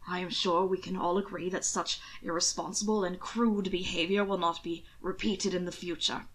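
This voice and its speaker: A woman voicing a stern, elderly duke with a measured, formal way of reproving, in an audiobook reading. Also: on the low side, flat delivery, natural voice. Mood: sternly diplomatic. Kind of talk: amateur audiobook character reading.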